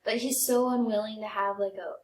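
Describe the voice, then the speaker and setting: A woman's voice: mid-range voice, fairly even intonation, fairly quick. A young American woman chatting casually and telling personal stories as a comedy podcast co-host. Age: young adult. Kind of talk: casual chat, personal storytelling. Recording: roomy, echoey podcast sound.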